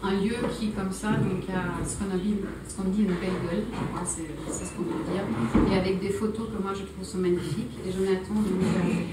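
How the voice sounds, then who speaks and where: A woman's voice: medium pitch, fairly even intonation, a little fast, very noisy. A woman, a gallery founder, giving a loose, rambling speech to guests with hesitations and restarts. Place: art gallery, indoors. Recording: echoey room, distant mic, loud chatter.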